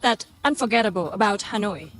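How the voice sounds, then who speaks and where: A woman's voice: mid-range voice, animated, brisk. A woman doing casual street interviews in a friendly way, echoing what people answer.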